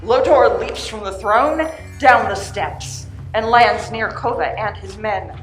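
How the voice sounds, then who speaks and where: A woman's voice: mid-range voice, slow pace. A woman reading scripted narration for a radio play. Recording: echoey live room, faint music.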